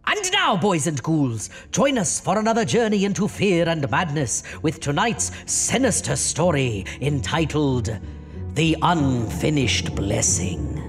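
An actor playing an easily riled, ghoulish horror host with a campy, theatrical manner. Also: male voice, on the high side, sing-song, unhurried, music in the background. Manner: theatrical, exasperated.

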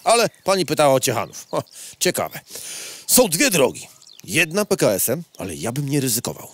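A man's voice: on the high side, sing-song, moderate pace, fairly bright tone, exaggerated voice. A man playing an elderly widowed beetle, a retired cavalry captain with formal, old-fashioned courtesy, in a comic audio drama. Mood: polite, wary. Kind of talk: audio comedy drama acting.

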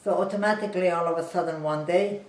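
An elderly woman speaks in a measured, plain way, recalling her life for an interviewer. She sits on a sofa in a living room, heard from a camera some distance away. The room adds a roomy echo, with a faint hum of ambience behind her. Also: lowish voice, darkish tone. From Iran. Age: 90s. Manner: measured, plain storytelling, seated and still. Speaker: interviewee, physician. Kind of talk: personal life story interview. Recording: roomy, distant home recording.

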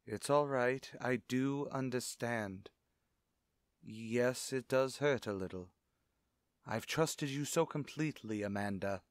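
A man's voice: mid-range voice, takes it slowly, dry recording, studio quality, close to an everyday voice. A man playing a brooding, secretive widowed father in formal, grave, melodramatic old-time radio suspense style. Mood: wounded, restrained. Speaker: radio drama actor.

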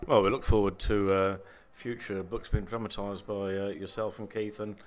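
A man's voice: on the low side, flat delivery, moderate pace. A man interviewing a guest and wrapping up politely and formally. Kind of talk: interview wrap-up.

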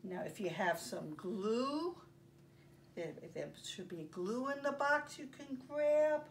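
An older woman presenting story time for young children, speaking in simple, deliberate phrases with pauses as she reads and demonstrates. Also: on the high side, expressive. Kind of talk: read-aloud and craft demonstration for young children. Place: carpeted home living room. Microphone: camera a few feet away. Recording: distant mic, faint room noise.

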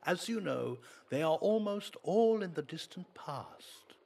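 A man's voice: high voice, lively intonation, takes it slowly, gravelly, dark tone, pitched above the performer's own voice, full character voice. A man voicing a haughty, pious priest from a storybook folk tale, declaiming pompously.

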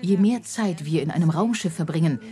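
A woman's voice: fairly low pitch, fairly quick, warm tone, lightly acted. A woman speaking in a dubbed documentary style.

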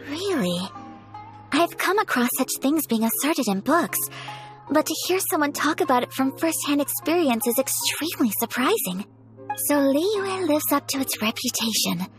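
A woman voicing a graceful young noblewoman in a video game, speaking formally, gently and in a measured way. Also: high-pitched, big pitch swings. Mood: polite. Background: game music.

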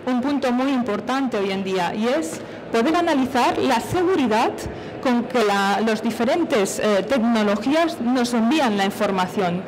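A woman's voice: a little high, animated, normal speed, a little mellow, a little hiss or hum, poor audio. A middle-aged woman presenting technical material at a conference, explaining step by step and posing questions to answer. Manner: explanatory, with self-posed questions. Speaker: conference speaker from industry. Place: on stage at a conference, filmed from a distance.

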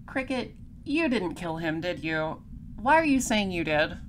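A woman playing a polite, persistent space patrol detective in an audio drama, questioning in a formal, measured way. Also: lowish voice, big pitch swings, slight background noise. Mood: probing. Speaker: lead detective and narrator.

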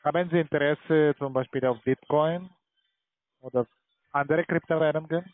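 A man with a foreign accent speaking non-native German as a call centre salesman, pitching haltingly and tagging sentences with "ja". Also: steady tone, no room sound. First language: not German. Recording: tinny narrow phone line.

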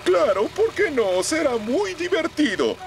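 A man's voice: very high-pitched, sing-song, a little slow, heavily acted. A man voicing a proud, stubborn older bus driver in a dubbed children's cartoon, with a know-it-all, defensive manner. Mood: self-assured. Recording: music behind the voice.

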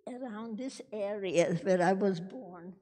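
An older woman, Hungarian her first language, talks slowly and gently to a room of students. Her voice is soft and mellow, with restarts and light laughs. The handheld mic carries a long reverb from a large sanctuary. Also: medium pitch. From Czechoslovakia. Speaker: guest speaker. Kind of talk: personal testimony talk. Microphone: handheld microphone.

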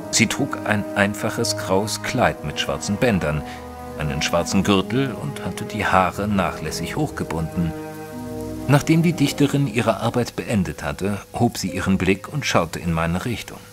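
Deep-voiced gentleman narrator. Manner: formal, measured literary storytelling. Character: male narrator Mister Tristram, educated, observant, easily flustered gentleman. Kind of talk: scripted first-person audio drama narration.

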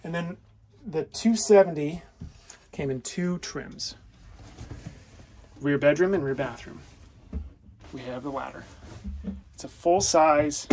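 Male vlogger, slightly high-pitched with strong vocal fry, informal unscripted tour narration. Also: darkish tone. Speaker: vlogger and owner giving a tour of a vintage motorhome. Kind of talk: casual, off-the-cuff walk-through narration. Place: inside a small vintage motorhome. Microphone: handheld phone or camera, held by the speaker. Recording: noisy handheld recording with clicks.

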